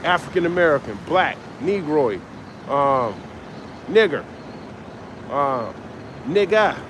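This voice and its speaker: A man's voice: a little high, very animated, takes it slowly, background noise. A man preaching on a live stream, reading scripture aloud and expounding it in a confrontational, profane way. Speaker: street preacher.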